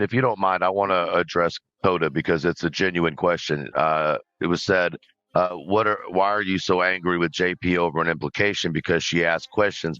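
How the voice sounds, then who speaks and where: Deep-voiced man on a dry, dull line. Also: clear, resonant tone, no room sound. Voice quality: loud, deep voice. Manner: measured, explains himself, often asks "right?". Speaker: panel participant. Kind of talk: live audio panel discussion.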